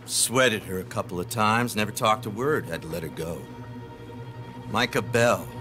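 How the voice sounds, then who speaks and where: A man playing a smug, cold middle-aged detective villain in a western video game, measured and taunting. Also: on the low side, bright, forceful tone, faint music behind. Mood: gloating. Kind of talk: cinematic western game acting.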